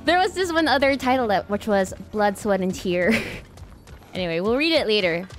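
A woman's voice: mid-range voice, animated, moderate pace. A woman from the Philippines, giving chatty, giggly reaction commentary while playing a video game. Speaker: gaming streamer. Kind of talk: let's play reaction commentary. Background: game music.

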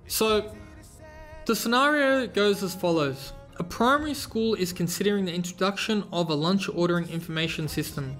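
A man hosting a screen-recorded tutorial, explaining step by step in a relaxed way with casual fillers. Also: high-pitched. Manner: relaxed, explanatory, frequent fillers like "you know". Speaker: tutorial host. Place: voice-over over slides.

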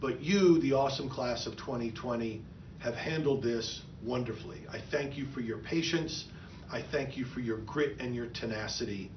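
A man's voice: medium pitch, moderate pace, background noise. Man, middle-aged, earnest, encouraging, office recording, roomy and a bit muffled. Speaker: school superintendent. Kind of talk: prepared commencement address. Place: office with bookshelves, indoors. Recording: echoey, dull room sound from a distant mic.

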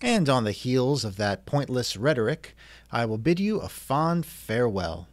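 A man's voice: mid-range voice, big pitch swings, a little slow, soft background music. Dry, sardonic male podcast host. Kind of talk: scripted podcast interview reenactment.

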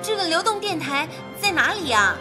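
An actress playing a quick-tongued, teasing woman who works at a radio station, in a radio drama. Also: extremely high pitch, very animated, over music. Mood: curious.

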